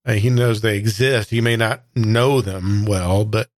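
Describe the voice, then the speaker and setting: A man's voice: lowish voice, steady tone, unhurried, no echo, clean studio sound. A man taking part as a player in a tabletop game, casually explaining what his character does.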